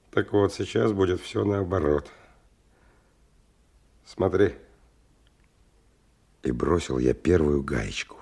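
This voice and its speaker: A very low, husky male voice plays a gruff expedition leader in a radio drama. He is terse, colloquial and commanding, wary of danger, and takes his time over the words. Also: a little mellow, heavily acted. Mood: gruff, tense, then measured, narrating. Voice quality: gravelly, husky. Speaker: actor and narrator of a radio play. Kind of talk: 1990 radio drama acting with first-person narration.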